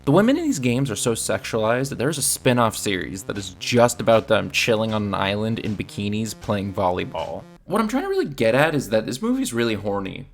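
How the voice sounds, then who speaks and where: A man with a fairly low voice narrates a scripted film review. His delivery is casual and opinionated, with a gravelly creak, over faint background music. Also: sing-song, fairly quick, few pauses. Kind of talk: scripted video essay narration.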